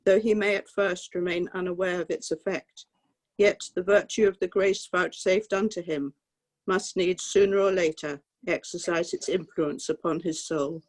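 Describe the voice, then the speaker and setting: A participant on a video call reciting a prayer in a slow, measured way. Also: medium pitch, fairly even intonation.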